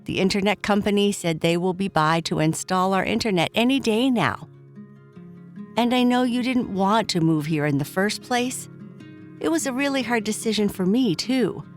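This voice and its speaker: Woman as a gentle, sceptical mother. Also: mid-range voice, animated, moderate pace, faint music behind, natural voice. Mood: reassuring. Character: gentle, sceptical, reassuring mother of a young girl. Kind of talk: children's bedtime storytelling.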